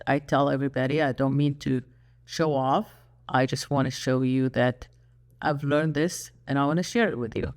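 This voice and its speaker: An older speaker from Iraq, an Arabic speaker hosting a solo channel monologue, earnest and reflective with pauses. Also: medium pitch. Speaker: YouTube channel host.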